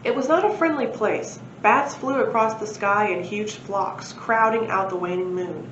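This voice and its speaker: Expressive woman reading in a roomy space. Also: medium pitch, normal speed. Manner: expressive storytelling. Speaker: library read-aloud presenter. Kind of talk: children's story read-aloud with casual asides. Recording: roomy, muffled, faint background noise.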